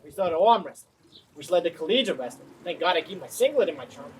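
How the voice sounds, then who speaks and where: A man playing a loud, dim, hot-headed anger-management patient in a comedy sketch, coarse and defensive. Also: a little high, sing-song, fast talker. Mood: boastful. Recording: distant mic, faint noise.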